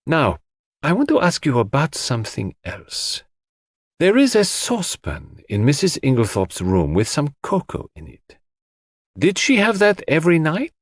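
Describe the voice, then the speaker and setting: A man voicing a calm, courteous detective who questions people precisely in an audiobook mystery. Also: fairly high pitch, unhurried, no room sound, studio quality, voice pushed up, exaggerated voice. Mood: businesslike.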